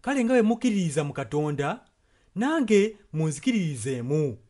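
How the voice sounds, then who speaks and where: Ugandan male sermon interpreter, slightly high voice, translating in short passages. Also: big pitch swings, a little fast, dark tone.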